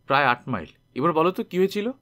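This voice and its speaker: A man's voice: a little high, lively intonation, fast talker, sharp tone. Man playing an urgent, inquisitive young man. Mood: explaining, curious. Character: worried, inquisitive young man, loyal brother.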